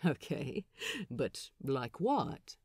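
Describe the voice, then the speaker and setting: Laconic pirate man, female reader, blunt and curious, pitched down, unhurried delivery. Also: big pitch swings, creaky, mellow, slightly rough, no echo, studio quality, full character voice. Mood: blunt curiosity. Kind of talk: character voice in fan fiction audiobook.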